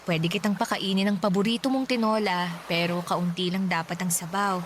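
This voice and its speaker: A woman's voice: medium pitch, fairly even intonation, normal speed, slight background noise, lightly acted. An actress with a Filipino accent playing a devoted, protective, worried mother in a radio drama, speaking tenderly and emotionally. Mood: tender, reassuring. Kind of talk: Tagalog radio drama acting.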